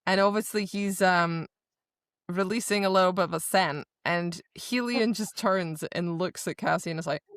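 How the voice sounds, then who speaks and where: A woman's voice: fairly low pitch, normal speed, a bit bright, clean tone, no echo, clean studio sound. A woman co-hosting a podcast, casually recapping a book and acting out the quotes as she retells it. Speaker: podcast co-host.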